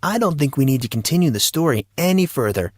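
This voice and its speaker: An awkward, wisecracking schoolboy of fourteen in an audio story, acted out by a man. His pitch is lifted well up and his delivery is flippant and joking. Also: lively intonation, fast, dry recording, clean studio sound.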